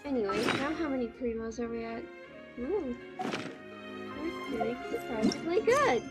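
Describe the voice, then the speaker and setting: A young woman chats casually through a live game stream. Her voice is fairly high, and loud game music plays behind her commentary. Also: expressive, moderate pace.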